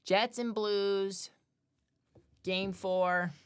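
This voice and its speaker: A woman's voice: medium pitch, steady tone, very slow, smooth. A woman giving short, reserved answers in a casual chat while watching hockey. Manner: short replies, quietly reserved. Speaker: co-host.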